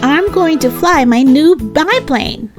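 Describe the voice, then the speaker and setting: A woman voicing an eager young bear cub in a children's storybook, excitedly calling to a parent. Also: high voice, slow pace, over music, voice pushed up.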